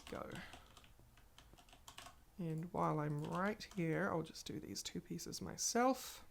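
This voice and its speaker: A woman with a low voice talks viewers through her game session in a loose, rambling way. She sounds a little stuffy with a cold. Keyboard typing clicks in the background. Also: slow pace, vocal fry. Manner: chatty, rambling, talks to viewers while playing. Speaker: gaming channel host. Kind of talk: casual Let's Play commentary.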